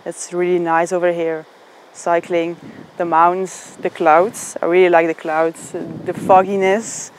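Woman rider, keen but halting, outdoor noise faint. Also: fairly low pitch, little pitch movement, poor audio. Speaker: cyclist.

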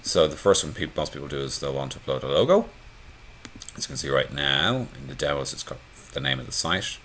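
A man giving a relaxed, conversational screencast walkthrough of a website theme, with frequent "uh" and "um" fillers. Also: extremely low pitch, fast talker, a little hiss or hum. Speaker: theme tutorial presenter.

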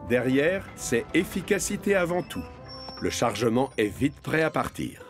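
A man narrates a children's cartoon story in a medium-pitched voice. The delivery is scripted storytelling, with music clearly playing underneath. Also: sing-song, measured pace.

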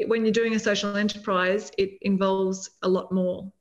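Earnest Australian woman on a flat video call. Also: mid-range voice, normal speed. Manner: earnest, explanatory, with many "you know"s and restarts. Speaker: guest, social entrepreneur. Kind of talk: podcast interview over video call. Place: home room. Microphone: laptop or webcam mic on video call. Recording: slightly dull video call audio.

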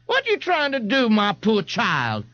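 A man's voice: high-pitched, wildly swooping pitch, unhurried, pushed and bright, lo-fi sound, pitched above the performer's own voice, heavily acted. A man voicing a protective, fretful older mother.

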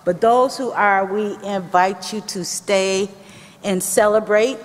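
A woman leads worship in a large chapel. She talks slowly, with measured phrases addressed to the congregation. The hall adds a long reverberation to her voice. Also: mid-range voice, a little mellow. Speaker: campus chaplain leading a chapel service. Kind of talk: addressing a congregation, welcome and prayer. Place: large indoor chapel with brick walls. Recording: echoey hall, picked up from the audience.

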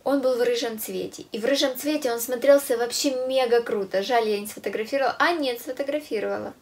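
A woman's voice: on the high side, quick pace. A young woman vlogging casually to camera in a small room, with a stuffy nose from a cold, talking chattily with many hesitation sounds. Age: young adult. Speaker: fashion vlogger. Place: small room with a clothes rack, indoors.

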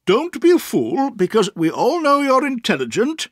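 A shrill, strict old aunt. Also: male voice, huge pitch swings, normal speed, no echo, clean studio sound, full character voice. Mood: firm. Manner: sharp, cross, commanding. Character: strict, brisk grey-haired elderly aunt.

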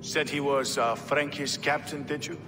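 An actor playing a hard, cynical medieval warrior in a game cutscene, with a blunt, wry and sceptical way of talking. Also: male voice, a little high, fairly even intonation, normal speed, lightly acted. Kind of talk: dramatic game cutscene acting. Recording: reverberant hall, faint ambient noise.

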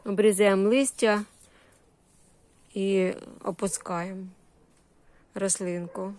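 A woman's voice: medium pitch, steady tone, slow, darkish tone. A woman with a Ukrainian surzhyk accent giving a casual, unscripted garden tour in short, homely phrases full of diminutives. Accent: Ukrainian with Russian-influenced surzhyk words. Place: walking through a vegetable garden and greenhouse.

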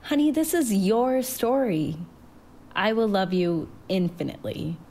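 A woman voicing a loving, gentle mother who speaks tenderly and encouragingly in a narrated story. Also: mid-range voice, very animated, measured pace. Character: gentle, loving, reassuring mother of a growing girl.

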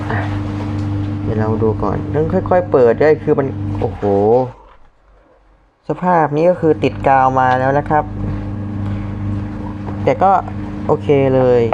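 A man giving a casual unboxing review, thinking aloud informally as he handles things, as host of a vlog. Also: mid-range voice. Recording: dull lo-fi audio, loud background noise.

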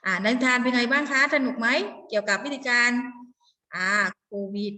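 A woman's voice: fairly high pitch, moderate pace, bright, forceful tone. A woman teaching young children in an interactive storytime lesson, encouraging them with simple repeated questions. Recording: distant mic in echoey hall.

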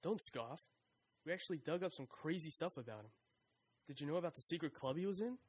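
A man's voice: fairly high pitch, animated, fairly quick, slightly rough. A young man voicing a cocky, wisecracking slacker who talks in laid-back slang. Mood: joking. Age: young adult. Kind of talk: audio drama acting and narration.

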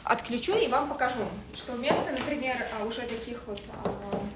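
Woman stylist chatting on a livestream, kinda high voice, halting delivery, roomy echo. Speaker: fashion stylist hosting a livestream. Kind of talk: casual livestream style lesson. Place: indoors in a home living room with a desk. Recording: echoey room with faint noise.

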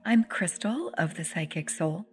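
A woman with a fairly low voice guides a meditation softly and soothingly. She takes her time, leaving pauses and letting phrases trail into breathiness. Singing bowl music plays clearly behind her. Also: expressive. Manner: soothing, unhurried, with long pauses. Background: meditative music with singing bowls.

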